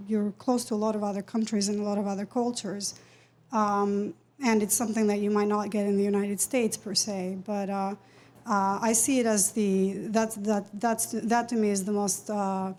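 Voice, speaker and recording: A woman from Europe speaking from the audience at a talk, measured and thoughtful, with many fillers. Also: medium pitch, a little mellow. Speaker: audience member. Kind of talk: question-and-answer at a talk.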